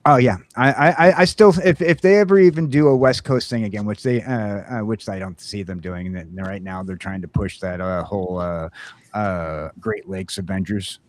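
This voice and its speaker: Low-voiced man, halting podcast host. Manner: halting, rambling, repeats words. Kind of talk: casual geek chat.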